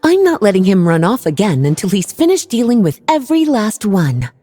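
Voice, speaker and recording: A woman's voice: mid-range voice, big pitch swings, fairly quick, dark tone, dry recording, clean studio sound. A woman playing a languid, wry, mature female librarian with a stern streak in a video game. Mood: wry, unhurried. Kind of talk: game voice acting.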